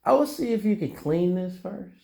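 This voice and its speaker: A man talking casually and informally with a colleague during an indoor walkthrough, thinking aloud and making quick decisions. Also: sits high, animated, gravelly, dark tone, slightly rough. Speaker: property manager doing a walkthrough inspection. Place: indoors, rooms of an empty house under inspection.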